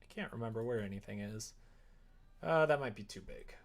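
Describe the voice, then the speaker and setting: Low creaky guy on a stream, chatting casually and mumbling to himself while he works. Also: animated, brisk. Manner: informal, muttering to himself while working. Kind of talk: casual livestream chat while coding a visual novel.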